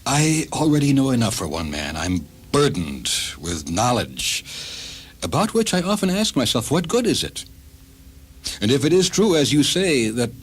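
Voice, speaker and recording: A man playing a weary, tormented exiled Russian novelist in a radio drama, with a grave, brooding, halting delivery. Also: medium pitch, big pitch swings, husky. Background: faint background music.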